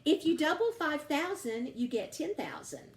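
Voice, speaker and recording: A woman reading a story aloud for children in a deliberate, teacherly way. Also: high voice, huge pitch swings, echoey room, a little hiss or hum. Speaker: storybook reader. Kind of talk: read-aloud narration for children.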